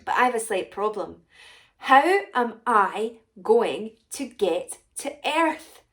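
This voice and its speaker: A woman voicing a short, rich, excitable female alien potion maker in a children's story, self-important and exclamatory. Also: on the high side, slow pace, exaggerated voice. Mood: scheming. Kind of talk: first-person children's story narration.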